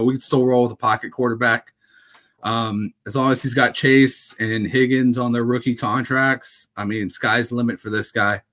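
A young man hosting a casual fantasy football talk, rambling with lots of fillers, his voice going a little raspy. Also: mid-range voice, a bit bright. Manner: rambling, full of fillers and restarts. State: slightly raspy voice. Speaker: fantasy football podcast host.

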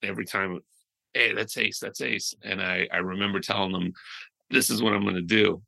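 Man, gravelly voice, bright tone, lowish pitch, chatty storyteller, lots of restarts. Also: normal speed, low-quality recording. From United States. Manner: animated storyteller, trailing restarts. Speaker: guest musician. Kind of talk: casual interview chat.

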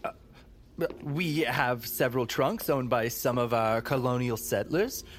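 A man's voice: mid-range voice, a little slow, a little hiss or hum, natural voice. A man playing a polite, formal, easily flustered small-town museum guide in an audio drama.

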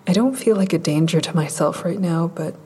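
An actress playing a guarded, resigned woman in psychiatric care, with a husky, dry, deadpan delivery in an audio drama. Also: on the low side, fairly quick.

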